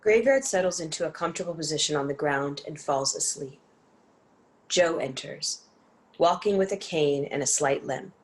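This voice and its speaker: Low-voiced woman narrating a play. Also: normal speed. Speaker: narrator reading stage directions. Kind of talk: scripted dramatic narration.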